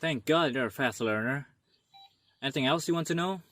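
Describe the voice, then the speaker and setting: A man's voice: medium pitch, brisk, no room sound, close to an everyday voice. A man with an Indonesian accent playing an earnest young protector from a secret order in an amateur radio drama. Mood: urgent, explaining. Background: loud music.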